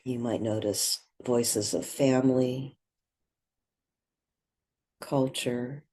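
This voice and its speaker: A woman leads a guided meditation in a husky voice. She speaks very slowly and gently, setting out short phrases and leaving long pauses between them. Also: very deep voice, fairly even intonation.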